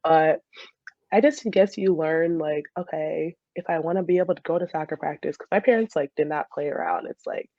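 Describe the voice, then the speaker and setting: A woman's voice: low voice, fairly quick, mellow, no room sound, muffled recording. A young American woman from Michigan answering interview questions in a casual, chatty way as a former college soccer player, often laughing as she talks. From Michigan, USA.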